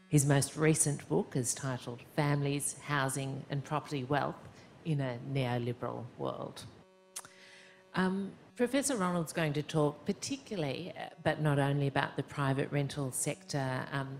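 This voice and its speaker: Female host, very deep voice, measured intro, faint hum behind. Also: darkish tone. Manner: measured, with frequent ums. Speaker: event host introducing the speaker. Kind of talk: formal introduction.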